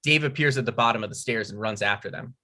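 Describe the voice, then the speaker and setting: A man's voice: mid-range voice, fast, bright, forceful tone. A man narrating a script's stage directions at a table read.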